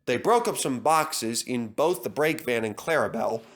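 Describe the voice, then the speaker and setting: A man's voice: medium pitch, animated, moderate pace, fairly bright tone. A man reading a scripted children's story as its narrator.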